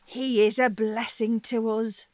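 A woman playing a warm-hearted, outspoken mother in an audio drama, emphatic with old-fashioned phrasing. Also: fairly high pitch, little pitch movement, unhurried, dry recording, natural voice. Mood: fond.

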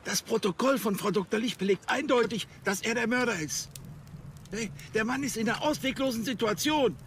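An actor playing an officious, impatient police chief in a television crime comedy. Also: male voice, extremely high pitch, a little fast, a little hiss or hum. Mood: exasperated. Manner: officious, exasperated. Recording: music behind the voice.

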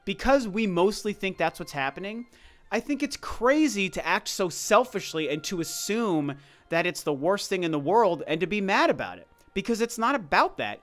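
A man's voice: a little high, fairly bright tone. A man giving casual, conversational opinion commentary, speaking emphatically and repeating his points for stress. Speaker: video commentator. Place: voice-over over gameplay footage.

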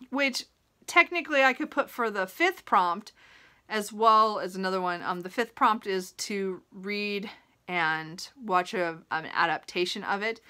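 A woman's voice: mid-range voice, sharp tone. A woman in her thirties talking casually to camera as a book vlogger, in a rambling way that often interrupts itself. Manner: rambling, self-interrupting, chuckles while talking. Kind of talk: casual chat to camera about a reading list. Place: small room at home, indoors.

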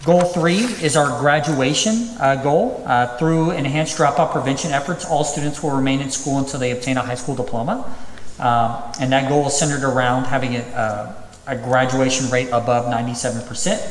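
A man's voice: mid-range voice, steady tone, moderate pace, a little hiss or hum. Man with many uhs in an echoey auditorium. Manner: reads through items with many ums and uhs. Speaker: school district administrator. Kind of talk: presenting at a public hearing. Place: large empty auditorium. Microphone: podium microphone. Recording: echoey auditorium, distant reverb.

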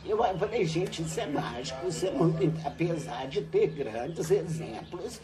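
An older woman, a retired teacher, recounting her school days in a relaxed interview on a home patio. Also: low voice, a little slow, music in the background. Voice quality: lively, animated storytelling with laughs. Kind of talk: reminiscing interview. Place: covered outdoor patio at a home.

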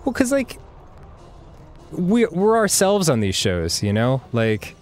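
A man's voice: on the high side, wildly swooping pitch, slow, soft background music. A man in his thirties, first language Hebrew, chatting casually as a co-host over gameplay, rambling with many fillers. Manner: rambling, frequent fillers and laughs.

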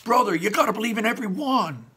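A man's voice: a little high, animated, moderate pace, husky, voice pushed up, exaggerated voice. A man reading a story aloud, voicing a boisterous older brother who cheers his sibling on. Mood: urging. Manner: loud, upbeat, pep-talking.

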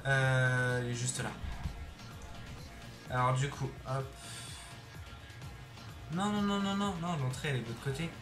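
A young man chatting casually over his gameplay on a headset mic, excitable and throwing in English exclamations. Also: mid-range voice, a little slow, long pauses. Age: young adult. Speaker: gaming YouTuber. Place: bedroom. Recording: roomy sound with game music behind.

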